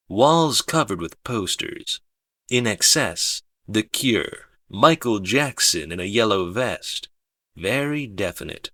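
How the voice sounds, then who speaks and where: A male audiobook narrator with a low voice and a bright, focused edge. He reads first-person fiction slowly and with understatement, in a very dry, close recording. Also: dry recording.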